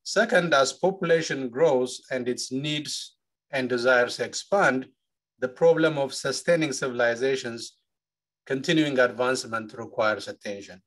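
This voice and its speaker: Measured man from Ethiopia presenting. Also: mid-range voice, a bit bright. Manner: measured, with frequent "uh" fillers. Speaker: engineering professor, webinar panelist. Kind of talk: presenting slides in a webinar.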